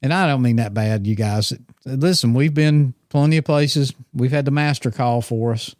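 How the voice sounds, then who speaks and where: A man's voice: mid-range voice, normal speed, a little mellow, no echo, clean studio sound. A man around sixty, co-hosting a hunting podcast in casual, rambling, teasing chat. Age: 60s. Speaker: podcast co-host.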